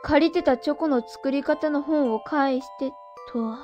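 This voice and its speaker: A woman's voice: sits high, a little slow, darkish tone, dull audio, faint music behind. A woman playing a naive, eager young witch girl, earnest and awkwardly half polite, half boyish, in a comic anime voice drama. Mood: unsure.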